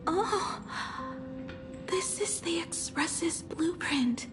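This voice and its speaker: A young woman inventor with a high, delicate voice that moves slowly and hesitantly. She is earnest, frail and shyly sweet, in the manner of an anime game heroine, with clear music behind her. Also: animated, whispered, exaggerated voice. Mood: gentle, curious. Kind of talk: anime-style game voice acting.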